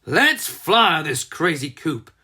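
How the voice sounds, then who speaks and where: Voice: medium pitch, big pitch swings, slow, sharp tone, breathy edge, heavily acted. A storybook narrator voicing a bold, impulsive father rooster in a hearty, exclaiming way. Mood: enthusiastic.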